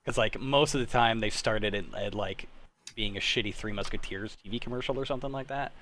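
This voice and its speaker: A man's voice: fairly low pitch, brisk, fairly bright tone, a little hiss or hum. A man, around his thirties, chatting and joking casually on a podcast with a wry, sweary manner. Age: millennial, around 30s. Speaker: podcast panelist.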